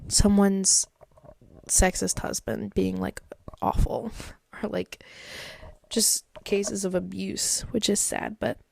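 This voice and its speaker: A woman hosts a solo podcast, reading advice posts aloud and rambling between them with plenty of fillers. Her voice sounds congested and stuffed up, with a gravelly, creaky texture and a dark, mellow tone. The sound is dry and close to the mic. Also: medium pitch, level tone, slow pace, dry recording. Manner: chatty and rambling, many likes and fillers. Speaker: podcast host.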